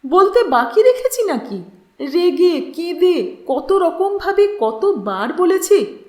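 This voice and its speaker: A woman's voice: extremely high pitch, sing-song, takes it slowly, darkish tone, echoey room, voice pushed up, exaggerated voice. A woman voicing a bitter, distressed young woman, emotional, scornful and pleading, in a story reading. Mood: frustrated.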